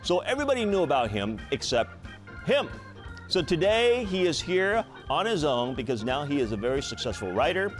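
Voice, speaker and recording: Animated male talk show host. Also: fairly high pitch, normal speed, soft background music. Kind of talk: late-night talk show hosting. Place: TV studio.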